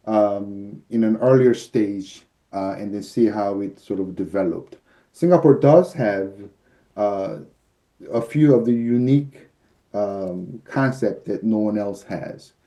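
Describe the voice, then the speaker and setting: A man in his fifties with a deep, mellow and very dark timbre explains legal procedure patiently over a video call. He pauses often on fillers, keeping his pace measured. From United States. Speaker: arbitrator and mediator guest. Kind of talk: explanatory interview answers. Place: office with shelves, indoors. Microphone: video call webcam.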